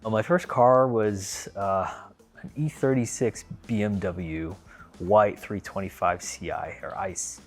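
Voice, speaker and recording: A man in his thirties speaks in a low, easygoing voice, wandering through his story with fillers and restarts. Faint music plays quietly under his words. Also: slow. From Philippines, raised in Los Angeles. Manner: relaxed, rambling, frequent fillers and restarts. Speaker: car owner. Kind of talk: casual storytelling to camera.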